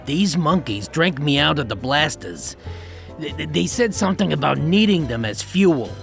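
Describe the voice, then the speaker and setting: An actor playing a grumpy, put-upon bartender in an audio drama, dry and grudging. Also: male voice, a little high, expressive, moderate pace, creaky, fairly bright tone, faint music behind.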